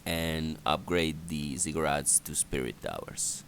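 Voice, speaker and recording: Male walkthrough narrator, extremely low voice, slow and halting, matter-of-fact, game ambience behind. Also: vocal fry, a little hiss or hum. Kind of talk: unscripted strategy game commentary. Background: game ambience and effects.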